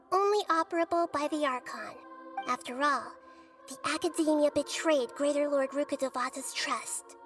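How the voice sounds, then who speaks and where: A woman playing a very high-voiced gentle child goddess. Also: lightly acted. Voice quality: soft, childlike. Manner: thoughtful, measured, earnest. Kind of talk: video game cutscene voice acting.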